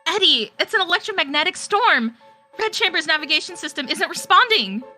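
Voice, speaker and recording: A woman's voice: very high voice, very animated, fairly quick, sharp tone, soft background music, voice pushed up, exaggerated voice. A woman voicing an alarmed, worried female spaceship crew member in an amateur game reading. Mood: alarmed, urgent.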